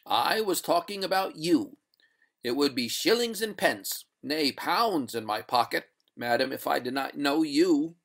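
Storybook householder , male reader: testy, witty gentleman, formal and dryly sarcastic, gravelly creak. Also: fairly high pitch, animated, unhurried, heavily acted. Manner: formal, asperity, dry sarcasm. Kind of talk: storybook character voice.

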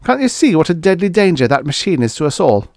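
A man's voice: mid-range voice, sing-song, moderate pace, no echo, studio quality. A man playing an anxious space explorer in a science fiction audiobook, earnest and insistent as he pleads with urgency. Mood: desperate, alarmed.

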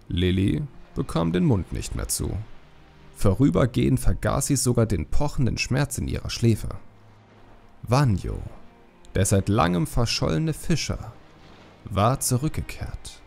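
Creaky-voiced man narrating, lively intonation. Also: medium pitch. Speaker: audiobook narrator. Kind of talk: dramatic scripted fantasy narration.

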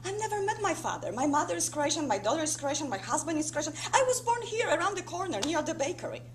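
Actress as an agitated high-voiced bitter woman. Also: sing-song, echoey room. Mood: agitated, bewildered. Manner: halting, repetitive, emotionally dramatic. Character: distressed, bitter Croatian wife and mother, agitated. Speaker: stage actor. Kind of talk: theatrical one-woman monologue. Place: indoor theatre stage, rehearsal. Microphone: camera a few metres away. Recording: noisy theatre camera recording with hum.

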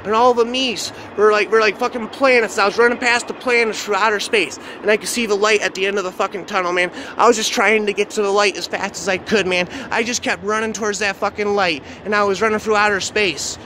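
A man telling a dark first-person story off the cuff in an agitated, repetitive, swearing, slangy way. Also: high voice, steady tone, brisk, hardly any pauses. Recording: noisy outdoor recording with traffic ambience.

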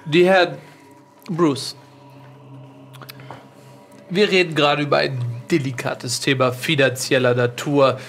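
A man's voice: fairly high pitch, fairly even intonation, a little slow, pauses often, fairly bright tone, faint music behind. A man playing a foppish, wealthy lord in an improvised audio drama, pompous, formal and condescending. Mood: formal, conspiratorial. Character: smug, rich, foppish English lord.

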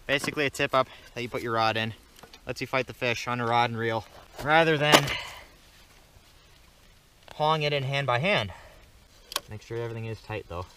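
A man's voice: mid-range voice, normal speed, pauses often, noisy background. A young man chatting casually and playfully as an outdoor vlogger, recorded on a nearby action camera. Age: young adult. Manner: joking, playful. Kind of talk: casual vlog chat while ice fishing. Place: open frozen lake, later inside ice fishing tent. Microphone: action camera at arm's length or set nearby.

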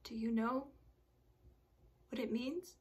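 Bereaved mother, woman performer: traumatised and bitter, slow halting delivery, intense hush, modern stage monologue. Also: on the high side, husky, exaggerated voice. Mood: anguished. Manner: hushed, halting, intense, often breaking into whispers.

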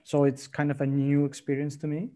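A young man speaks thoughtfully on a video call, pausing and restarting as he picks his words. His voice is mellow and sits a touch high for a man. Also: completely flat delivery. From Venezuela. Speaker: panelist writer. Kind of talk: panel discussion on a video call. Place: home room, indoors. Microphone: video call, earphone mic.

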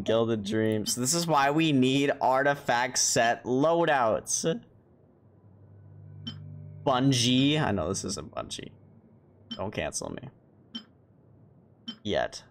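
A man's voice: medium pitch, very animated, drawn-out words, pauses often, a little hiss or hum. A man chatting casually on a gaming livestream in a wry, sweary, muttering way. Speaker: live streamer. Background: game music.

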